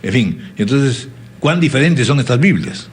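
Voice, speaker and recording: News host guy, kinda husky voice, asking pointed questions. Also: mid-range voice, expressive, moderate pace. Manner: inquisitive, probing. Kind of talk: television interview.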